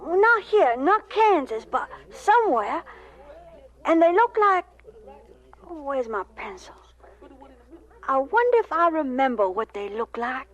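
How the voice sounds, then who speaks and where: Little boy in a radio play, real high, lively, polite n earnest. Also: measured pace, pauses often, rough recording. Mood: dreamy. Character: earnest, shy, dreamy young schoolboy. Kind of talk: radio drama acting.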